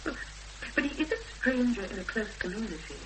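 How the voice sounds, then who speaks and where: A woman's voice: on the high side, lively intonation, fairly quick, close to an everyday voice. An actress as an earnest woman, noisy old recording. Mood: thoughtful. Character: Emma, earnest, inquiring woman seeking advice. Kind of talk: naturalistic 1970s radio drama acting. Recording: noisy hummy 1970s radio broadcast recording.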